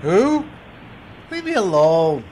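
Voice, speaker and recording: Reflective homeless narrator, played by a man, higher voice, noisy hiss behind. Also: sing-song, slow pace, a little mellow, full character voice. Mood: uneasy. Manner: reflective, earnest storytelling. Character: weary homeless man narrating his story. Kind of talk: first-person drama narration.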